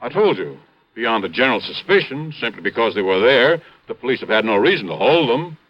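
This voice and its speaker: A grave, domineering mine owner in an old radio serial. He speaks formally and emphatically at a medium pitch. The vintage broadcast is muffled. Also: big pitch swings, brisk. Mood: gloomy, troubled. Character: grave, domineering male copper mine boss. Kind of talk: 1950s radio drama acting.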